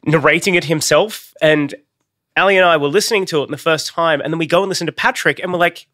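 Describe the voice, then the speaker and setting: A man's voice: on the high side, fast, dry recording, studio quality. A man in his thirties co-hosting a podcast, asking eager questions in a casual, easy-laughing way. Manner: eager, lively questioner who laughs easily. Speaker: podcast co-host. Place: small podcast studio. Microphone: studio mic on boom arm, headphones.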